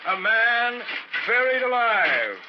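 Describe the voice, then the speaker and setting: An American actor playing a pompous, scheming carnival professor in old-time radio horror, with grandiloquent, commanding patter. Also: male voice, very high voice, very animated, slow, full character voice. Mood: grand, hawking. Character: pompous, scheming carnival showman professor burying a man alive. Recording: muffled vintage radio recording with music.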